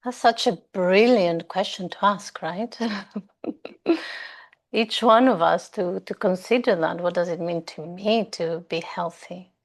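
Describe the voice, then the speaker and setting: Slow, thoughtful Greek-born woman. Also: fairly low pitch. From Greece. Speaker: guest. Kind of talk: casual conversation.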